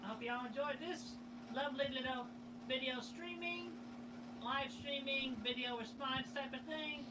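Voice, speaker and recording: Woman, high pitched, excitable, signing off to viewers, distant from mic, noisy clip. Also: normal speed. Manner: excitable, exclaiming. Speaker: video creator. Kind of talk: casual sign-off to viewers. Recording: distant noisy replayed video audio.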